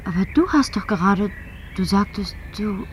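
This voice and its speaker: A woman's voice: mid-range voice, animated, normal speed, natural voice. Actress as a hesitant, fragile young woman. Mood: confused. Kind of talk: German science-fiction radio play acting. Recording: slightly noisy 1970s radio recording.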